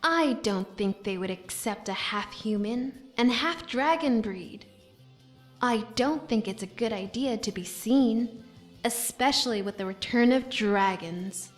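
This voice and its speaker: A woman's voice: fairly high pitch, animated, a little slow, faint music behind. A woman voicing a lonely, shy half-dragon companion in a video game, with a wistful manner. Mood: wary, hopeful.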